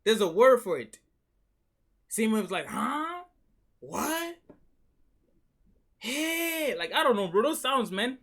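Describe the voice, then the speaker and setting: A man with a South African accent, hosting a reaction video in excitable, slangy casual chat. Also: very high voice, slow pace, pauses often. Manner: excitable, slangy, exclaims often.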